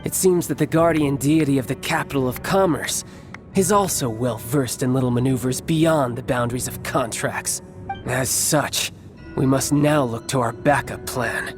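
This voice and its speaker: A man's voice: mid-range voice, normal speed, music in the background, lightly acted. Man playing a suave, arrogant young villain. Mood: smug, composed. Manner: theatrical, formal, smugly eloquent. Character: suave, arrogant young male villain, scheming and battle-hungry. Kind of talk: video game cutscene acting.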